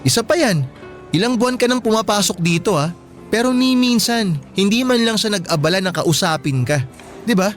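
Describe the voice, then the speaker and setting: A man's voice: sits high, animated, normal speed, faint music behind. A man playing a frank, teasing teenage boyfriend in a Filipino radio drama, with a Filipino accent. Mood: insistent. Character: teasing, blunt, sweet teenage schoolboy boyfriend.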